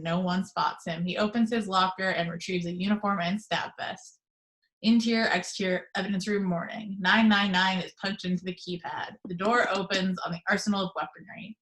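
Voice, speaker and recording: A woman reading the action descriptions aloud in steady, measured narration for an amateur table read over a video call. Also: medium pitch, rough recording. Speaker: reader of stage directions.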